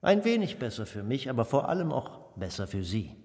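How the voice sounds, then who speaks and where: An actor in a German radio play playing a pompous, menacing Nazi minister who is domineering and mercurial, swinging from soft threats to barking. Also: male voice, mid-range voice, very animated, brisk, lightly acted. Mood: cold, insinuating. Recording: long hall reverb, faint music underneath.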